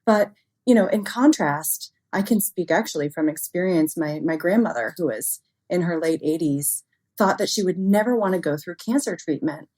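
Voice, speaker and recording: A woman's voice: lowish voice, normal speed, darkish tone. A woman speaking as an expert interview guest, explaining things conversationally with everyday examples. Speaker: interview guest, expert on human error in cybersecurity.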